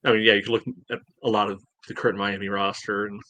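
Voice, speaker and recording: A man co-hosting a sports podcast, giving casual analysis in a measured, matter-of-fact way. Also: fairly low pitch, little pitch movement, bright, forceful tone, no room sound, muffled recording. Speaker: podcast co-host.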